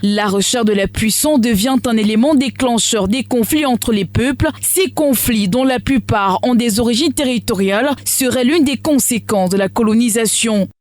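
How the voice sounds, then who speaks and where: A woman's voice: on the high side, moderate pace, few pauses. A woman reads a scripted news report as a reporter's voice-over.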